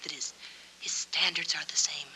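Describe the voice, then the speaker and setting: Earnest noir heroine, piercingly bright. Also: female voice, deep voice, fairly even intonation, moderate pace. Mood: earnest, pleading. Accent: American. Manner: earnest, coaxing, persistent. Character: earnest, loyal young lounge singer reasoning with troubled man. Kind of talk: 1940s film noir acting. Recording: faintly noisy 1940s film soundtrack.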